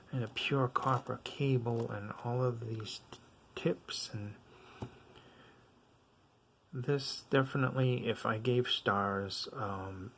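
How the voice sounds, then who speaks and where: A man's voice: on the low side, a little hiss or hum. A man with a mixed Floridian and New England accent, reviewing earphones at his desk in a rambling, filler-heavy, enthusiastic way. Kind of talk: casual product review.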